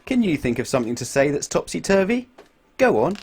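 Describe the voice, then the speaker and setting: A man reading a children's storybook aloud in an expressive way, putting questions to his young listeners. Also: fairly high pitch, fairly quick, husky. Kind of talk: animated children's storybook narration.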